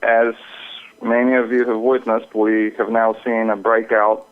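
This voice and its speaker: A man giving market commentary as an interview guest over the telephone, explaining things in a somewhat halting way. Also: fairly low pitch, level tone. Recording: phone line with narrow, radio-like sound.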